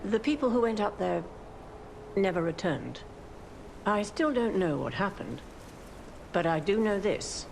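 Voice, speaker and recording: Creaky, solemn older gatekeeper woman. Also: mid-range voice, big pitch swings, darkish tone. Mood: mournful warning. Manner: solemn, ominous, measured. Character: grim, sorrowful guilt-ridden older female cathedral gatekeeper. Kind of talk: dramatic video game voice acting. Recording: clearly noisy ambient background.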